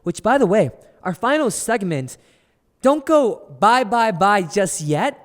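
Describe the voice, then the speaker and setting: A young man hosts a casual podcast chat in a high, light voice, playful and upbeat. His voice is slightly raspy from a sore throat, and a long reverb trails behind him in the room. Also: very animated, takes it slowly. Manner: playful, upbeat host patter. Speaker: podcast host.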